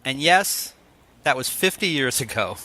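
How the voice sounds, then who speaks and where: A man's voice: mid-range voice, animated, unhurried, bright, forceful tone. A man hosting an interview show, moving between scripted announcements and conversational questions. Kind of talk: scripted introduction and ads, then interview chat.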